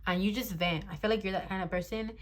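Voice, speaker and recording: A woman's voice: mid-range voice, fairly even intonation, fast talker. A woman in her twenties giving a casual tarot reading to camera, chatty and blunt, swearing freely and laughing often, recorded on a phone. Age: 20s. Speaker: tarot reader.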